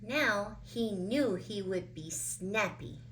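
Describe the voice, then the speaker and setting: A woman reading a children's picture book aloud with deliberate, dramatic phrasing. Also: mid-range voice, fairly bright tone, slight background noise, rough recording. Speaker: children's storybook reader.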